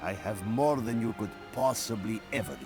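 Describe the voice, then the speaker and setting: A man's voice: lowish voice, normal speed, close to an everyday voice. An actor playing a proud, boastful Ottoman man in a video game. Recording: loud music behind the voice.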